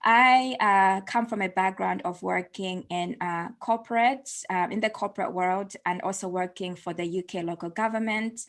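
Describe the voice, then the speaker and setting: A woman's voice: medium pitch, moderate pace, hardly any pauses. A woman who grew up in the United States, hosting a casual video interview in a chatty, conversational way with frequent fillers. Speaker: host.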